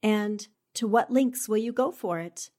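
A woman's voice: mid-range voice, a little slow, darkish tone, lightly acted. An actress playing a determined, poised and polite newspaperwoman in a 1940s radio drama. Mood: probing, pointed.

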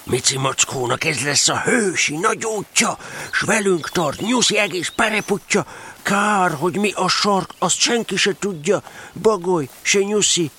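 A man voicing a naive, good-natured little bear in a children's audio drama, earnest and husky. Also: sits high, bright, forceful tone, exaggerated voice. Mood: proud, reciting.

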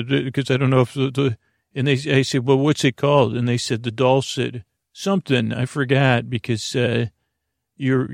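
Male podcast host, gravelly creak, close dry sound; halting, tangent-prone improvised comic rambling for a sleep show. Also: medium pitch, no room sound. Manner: meandering, tangential, halting and self-interrupting.

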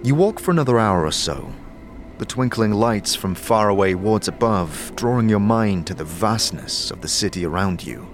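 A man's voice: fairly low pitch, expressive, moderate pace, soft background music. A man reading scripted fantasy narration as the storyteller of an audio drama, addressing the listener as you.

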